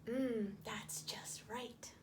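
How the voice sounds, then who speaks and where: A woman voicing a curious, fussy little storybook girl with drawn-out, exaggerated exclamations. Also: a little high, fairly even intonation, darkish tone, in a whisper. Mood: contented.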